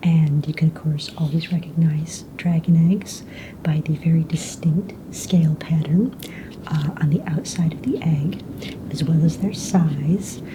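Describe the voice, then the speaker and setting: A woman playing a calm, businesslike young fantasy egg hunt official in a breathy, soft-spoken ASMR roleplay, casual and matter-of-fact. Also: deep voice, a little slow, noisy background. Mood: calm, polite. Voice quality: breathy, whispery.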